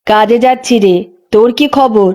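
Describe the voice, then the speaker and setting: A woman's voice: a little high, moderate pace, darkish tone, close to an everyday voice. Woman acting a careworn village midwife. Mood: concerned, admonishing. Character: weary, careworn village midwife, a caring but burdened wife. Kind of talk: dramatised village horror story dialogue.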